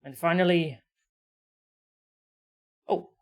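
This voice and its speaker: A woman's voice: lowish voice, fairly even intonation, extremely slow. A woman streamer giving casual, enthusiastic reaction commentary on a game. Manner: enthusiastic, admiring asides.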